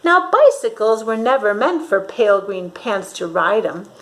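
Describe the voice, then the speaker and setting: A woman reading a storybook aloud to young children in an expressive, dramatic way, pausing to ask her listeners questions. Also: medium pitch, a little hiss or hum, rough recording.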